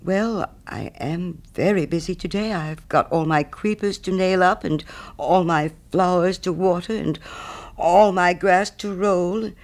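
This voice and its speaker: Man playing naive, eager little Hans, high-pitched. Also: a little slow. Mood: apologetic. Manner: earnest, meek, eager to please. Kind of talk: storybook character reading.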